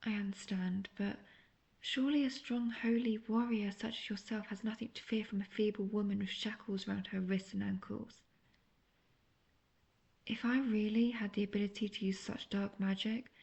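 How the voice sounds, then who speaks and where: A woman playing a manipulative, seductive imprisoned witch in a fantasy audio roleplay, speaking intimately in a breathy near-whisper. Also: mid-range voice, darkish tone. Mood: feigned helplessness.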